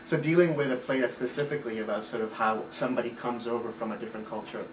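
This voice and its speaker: A man from Puerto Rico speaks as a theater director, thoughtful and fluent, pausing on small fillers as he builds his ideas. His voice sits in a middle range and is picked up from a distance. The sound has a roomy echo and a clear wash of background noise. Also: medium pitch, fairly even intonation. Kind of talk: reflective interview answers. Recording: roomy, distant mic, noisy ambience.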